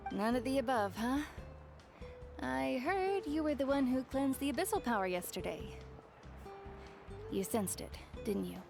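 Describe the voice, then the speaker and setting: A woman playing a calm, motherly tribeswoman in a video game, kindly and wry with a creaky edge. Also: a little high.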